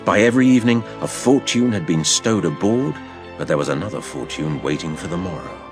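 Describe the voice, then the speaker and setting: A man playing a seafarer who tells his past adventure in a measured, literary, expressive way. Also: music in the background. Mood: reflective storytelling. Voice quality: low, gravelly. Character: older seafaring man telling his past adventure to a boy, wry and fond. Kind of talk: dramatised storytelling narration.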